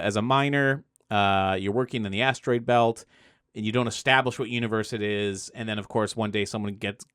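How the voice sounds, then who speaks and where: Male podcast speaker, fairly low voice, animated rambling explainer, recorded very dry. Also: smooth, dry recording. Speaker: game designer and podcast guest. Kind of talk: casual podcast discussion.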